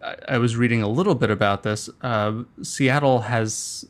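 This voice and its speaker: A man in casual conversation as an interview guest, speaking in a hesitant, measured, halting way. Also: medium pitch.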